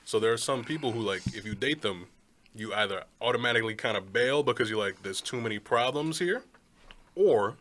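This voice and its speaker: A man's voice: medium pitch, expressive, a little fast, a bit bright. A man giving reasoned counterpoints with analogies in a casual group discussion. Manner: reasoned, uses analogies, joking asides. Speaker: panel guest.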